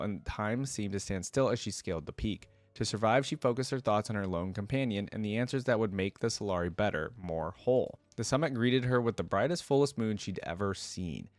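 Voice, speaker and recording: A man's voice: on the low side, no room sound. A man giving casual reaction commentary and reading fantasy lore aloud as the host of a reaction video.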